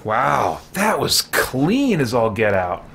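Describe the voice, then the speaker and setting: Male streamer, mid-range voice, tired, casual play-by-play over clear game noise. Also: mid-range voice, wildly swooping pitch, slow pace. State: tired after long gaming session. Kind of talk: casual gameplay commentary. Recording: noisy, game vehicle sounds behind. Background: video game sounds.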